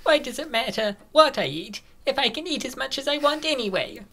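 A woman voicing an eager, pouty, food-loving young elf schoolgirl in a comic dub, cutesy and expressive. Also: sits high, fairly quick, full character voice. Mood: indignant. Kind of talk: comic dub character acting.

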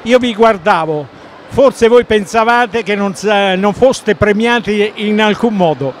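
High-voiced man interviewing dancers. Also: measured pace, a little hiss or hum. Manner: direct, conversational questioning. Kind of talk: event interview questions. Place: large indoor sports hall.

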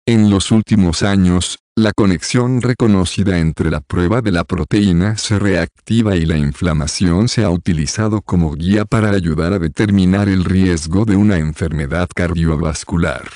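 A man reading a scripted health explainer in an even, unhurried way. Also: sits low, dry recording, clean studio sound. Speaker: narrator.

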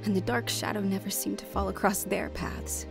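A woman's voice: mid-range voice, moderate pace, creaky, music in the background, lightly acted. An actress playing a troubled woman haunted by fear of death, earnest and anguished in a radio drama. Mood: somber, reflective. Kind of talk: radio drama acting with first-person narration.

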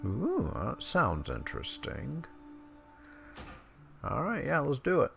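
A man's voice: mid-range voice, huge pitch swings, creaky, a bit bright, soft background music. A man doing gameplay commentary, switching between casual asides and reading quest text aloud. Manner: casual asides between plain, measured read-outs. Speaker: gaming video creator.

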